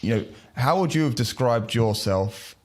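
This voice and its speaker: A man's voice: medium pitch, lively intonation, normal speed. A male podcast host asking curious, friendly interview questions. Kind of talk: conversational interviewing.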